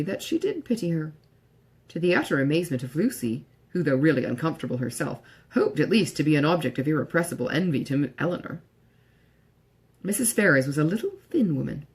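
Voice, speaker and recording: Female audiobook narrator, lowish husky voice, formal measured storytelling. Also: darkish tone. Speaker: audiobook reader. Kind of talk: scripted literary narration.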